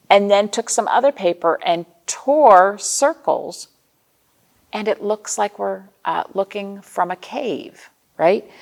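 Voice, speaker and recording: A woman's voice: mid-range voice, vocal fry, a little mellow. A woman hosting a storytime for young children as a librarian, speaking expressively and putting questions to her young listeners.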